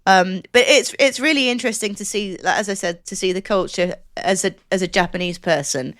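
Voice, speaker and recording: A woman's voice: mid-range voice, fairly quick, fairly bright tone, dry recording, studio quality. A woman chatting casually as a podcast co-host, explaining things in an enthusiastic, rambling way.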